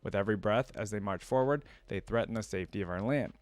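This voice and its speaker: Man voicing solemn storybook priestess, virtuous, earnest, measured, lowish pitch, dry sound. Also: no echo, lightly acted. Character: virtuous, solemn female temple priestess encouraging a soldier.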